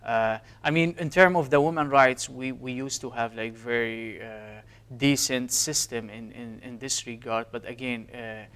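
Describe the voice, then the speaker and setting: Man in his 30s, Syrian accent, earnest and hesitant, smooth resonant tone. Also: mid-range voice, steady tone, normal speed, fairly bright tone. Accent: Syrian Arabic. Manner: earnest, hesitant with frequent fillers. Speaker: guest, physician. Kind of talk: interview answers.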